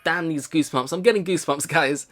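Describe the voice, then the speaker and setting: A man's voice: a little high, fast talker, a bit bright. A man hosting a let's play, reading game narration aloud and reacting casually. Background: game music.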